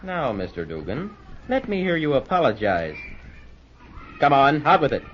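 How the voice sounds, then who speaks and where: Man playing a sneering teenage bully cadet captain, lively swoops. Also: mid-range voice, moderate pace, natural voice. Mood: mocking, commanding. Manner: sneering, mocking, commanding. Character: arrogant bullying older cadet captain, teenage military school tyrant. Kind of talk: old-time radio serial acting. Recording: noisy, muffled old radio recording.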